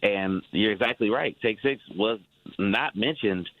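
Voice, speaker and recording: A low-pitched man speaking as a guest on a radio show, easygoing and quick to laugh. The sound is dry and dull, like a remote feed. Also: dry recording. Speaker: guest musician. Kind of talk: casual interview chat.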